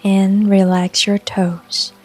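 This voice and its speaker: A woman narrating a scripted guided meditation in a calm, soothing, unhurried way, with long pauses between phrases. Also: medium pitch. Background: soft music and rain sounds.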